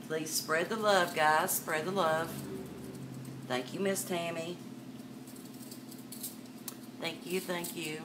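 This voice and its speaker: Older woman host, low voice, chatty live-sale patter, webcam a bit far off with room noise. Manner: chatty, calls viewers darling and miss. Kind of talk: live sales stream, chatting to viewers. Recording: distant mic with background noise.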